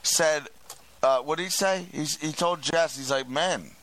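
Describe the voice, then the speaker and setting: A man's voice: on the high side, moderate pace, crowd noise, poor audio. A man with a growly voice hosting a rambling radio chat, blunt and foul-mouthed. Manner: profane, blunt. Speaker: radio show host and band frontman. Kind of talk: rambling casual group chat.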